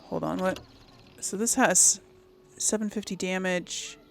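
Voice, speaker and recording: A woman's voice: fairly low pitch, steady tone, a little slow, gravelly. A woman giving casual commentary as she plays a game, thinking aloud in a musing way. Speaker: gamer, series host.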